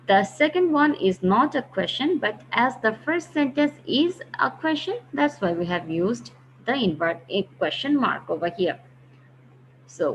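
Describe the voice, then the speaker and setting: A woman teaching a grammar lesson, patiently explaining step by step and slowing down to read example sentences. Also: fairly high pitch, a bit bright, slight background noise, low-quality recording. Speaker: English teacher.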